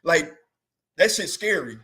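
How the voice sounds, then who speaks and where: A man's voice: a little high, animated, measured pace, bright, forceful tone, breathy edge. A man hosting a show, chatting casually and comically, slangy and rambling, often laughing. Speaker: host. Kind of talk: comedic casual chat.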